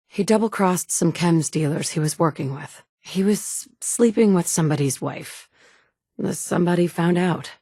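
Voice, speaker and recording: A blunt, wry game heroine. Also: female voice, fairly low pitch, darkish tone, no echo. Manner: dry, blunt, sometimes sarcastic. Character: determined young mother, blunt and wry. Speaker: player character. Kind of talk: video game dialogue lines.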